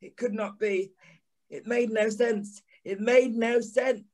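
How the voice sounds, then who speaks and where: A man playing a troubled, earnest gentleman in an audio play, speaking in a deliberate, dramatic manner. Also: sits high, steady tone, heavy background noise. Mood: shaken, haunted. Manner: deliberate, dramatic, halting repetitions. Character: troubled gentleman narrator, earnest, haunted by a nightmare.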